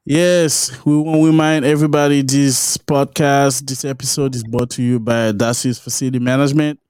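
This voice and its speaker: A man hosts a podcast in an upbeat, encouraging way. His voice is smooth and resonant. It is recorded close to the mic, with a dry sound and no room echo. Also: mid-range voice, level tone, moderate pace, a little mellow. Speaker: podcast host. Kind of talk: casual podcast interview, sponsor read.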